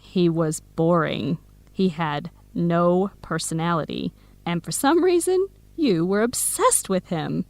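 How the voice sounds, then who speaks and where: Lively, teasing American best friend. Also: female voice, fairly low pitch, takes it slowly, no echo. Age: young adult. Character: Samira, teasing, protective young woman best friend. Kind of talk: scripted radio drama dialogue.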